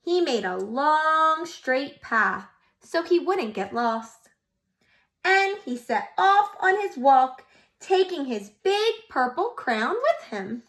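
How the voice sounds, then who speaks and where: Animated woman reading to preschoolers. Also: high-pitched, takes it slowly. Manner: expressive storybook reading with questions to children. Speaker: preschool teacher. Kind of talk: reading a picture book aloud to young children.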